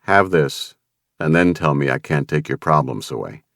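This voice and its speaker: A male narrator voicing a calm, capable man who speaks in an even, understated way. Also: rumbling low, normal speed, creaky, no room sound, studio quality, exaggerated voice.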